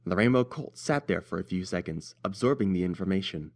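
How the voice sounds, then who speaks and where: A man's voice: low-pitched, animated, fairly quick. A man narrating a scripted fan-fiction story as an audiobook reader.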